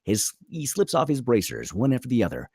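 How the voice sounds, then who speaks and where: A man's voice: medium pitch, huge pitch swings, brisk, darkish tone, slightly rough, no echo, clean studio sound. A man narrating a screenplay dramatically at a table read.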